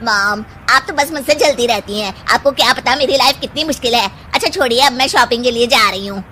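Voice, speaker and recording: A woman voicing a cheeky, childish young daughter-in-law in a cartoon story, with sassy, theatrical, drawn-out delivery. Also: a little high, pushed and bright, slight background noise. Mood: smug. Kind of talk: animated moral story dialogue.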